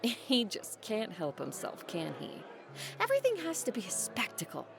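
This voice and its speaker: An actress playing a sardonic, resentful adult daughter of a tycoon in an audio drama, dryly sarcastic and teasing. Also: female voice, medium pitch, wildly swooping pitch, normal speed, vocal fry, over music. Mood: bitter, mocking. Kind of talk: naturalistic audio drama acting.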